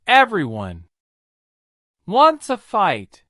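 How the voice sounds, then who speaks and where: A woman's voice: medium pitch, huge pitch swings, drawn-out words, a bit bright, no echo, clean studio sound, pitched above the performer's own voice, full character voice. A woman voicing an earnest, anxious young boy from a storybook, urgent and pleading.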